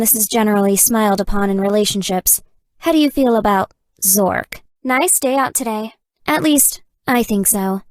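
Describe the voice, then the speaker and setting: A woman voicing a dry, deadpan, nerdy young gamer girlfriend in a dating game. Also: a little high, no room sound, lightly acted. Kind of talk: scripted dating game character dialogue.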